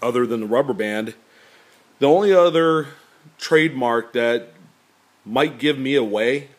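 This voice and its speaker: A man with a deep, slightly nasal voice talking casually to camera about his coin collection, rambling with many fillers. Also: measured pace. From California. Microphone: camera pointed at table, speaker off screen.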